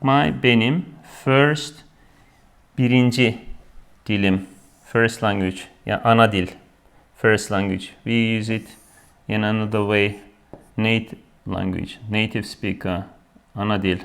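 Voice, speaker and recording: A man's voice: on the low side, fairly even intonation, creaky, fairly bright tone, clear, resonant tone. A man teaching a beginner English grammar lesson, switching into to translate and checking "right?" after each point. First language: Turkish. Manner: slow, repeats each word, checks with "right?"; switches between English and Turkish.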